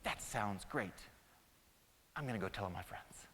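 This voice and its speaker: A man's voice: fairly high pitch, big pitch swings, a little fast, a bit bright, slight background noise. Here is an eager little storybook mouse acted out by a man, chatty and earnest. The voice swings freely up and down and rings through a long hall reverb. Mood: friendly. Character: excitable eager friendly little mouse, male.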